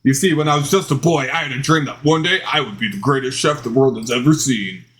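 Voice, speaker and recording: A man voicing an earnest, passionate male chef and romantic lead in a dating game, speaking softly and sincerely. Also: mid-range voice, fast talker, lightly acted. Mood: dreamy, solemn. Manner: soft-spoken, sincere, dramatic.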